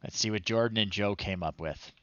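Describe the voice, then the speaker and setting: A man in his thirties hosting a casual livestream, talking in an unhurried, amused, halting way. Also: fairly low pitch, a bit bright, no echo, dull audio. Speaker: livestream host. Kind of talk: casual livestream commentary, reading children's stories aloud. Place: small home room, indoors.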